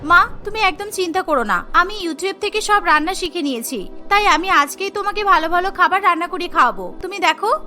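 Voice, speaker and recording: Dutiful young cartoon sister, voiced by a woman, very high and bright, earnest, sensible, soft music behind. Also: lively intonation, brisk, full character voice. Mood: reassuring. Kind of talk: Bengali cartoon story dialogue.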